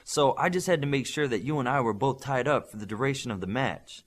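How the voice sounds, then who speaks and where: A man's voice: medium pitch, fairly quick, lightly acted. A man voicing an emphatic, competitive superhero with giant powers in an audiobook. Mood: boastful.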